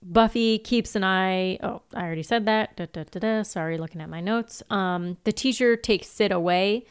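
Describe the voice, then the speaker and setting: A woman's voice: mid-range voice, normal speed, clear, resonant tone. A woman co-hosting a podcast, informally recapping TV episodes from notes in a casual, giggly way. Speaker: podcast co-host.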